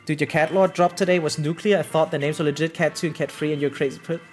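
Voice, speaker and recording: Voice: medium pitch, fairly even intonation, fast. A young adult streamer chatting casually with viewers, rambling and repeating words, informal and sweary, often self-deprecating. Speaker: live streamer. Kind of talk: casual chat with viewers, reading out and answering comments. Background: background music.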